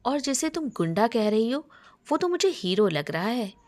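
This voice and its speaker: A woman's voice: on the high side, sing-song, normal speed, darkish tone, pitched above the performer's own voice. A woman voicing a chatty young best friend who scolds playfully and pries in a romance story. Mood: teasing, curious.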